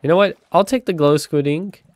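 A man's voice: fairly high pitch, fairly quick, no room sound, studio quality. A young man with a stuffy, nasal voice from a cold, rambling casually through gameplay commentary. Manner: rambling, chatty, with sudden excited outbursts. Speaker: gaming channel host.

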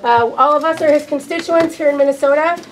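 Woman activist making firm demands, high pitched, echoey room, bit of noise. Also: fast talker. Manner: firm, insistent. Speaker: activist spokesperson. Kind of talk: confronting a politician, protest demands. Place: indoor office and conference room, camera mic. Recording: roomy, faint background noise.

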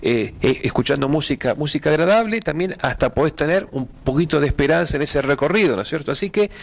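Argentine man, halting and filler-heavy. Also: on the high side, animated, creaky. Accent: Argentine Spanish. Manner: hesitant, many fillers and repeated words; gestures with hands while explaining. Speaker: pastor and event organizer. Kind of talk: TV studio interview. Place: TV studio with dark curtains.

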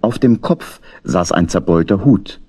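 Deep, husky male storyteller. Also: lively intonation, unhurried, mellow. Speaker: narrator. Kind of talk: scripted children's story narration.

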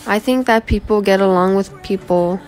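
A fifteen-year-old girl from Guam reading her autobiography aloud as a school presentation, in an earnest, steady voice. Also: medium pitch, moderate pace. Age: teenager, 15. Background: background music.

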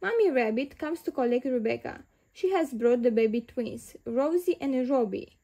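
A woman reading picture books aloud in an expressive storyteller's style for young children. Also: sits high, moderate pace.

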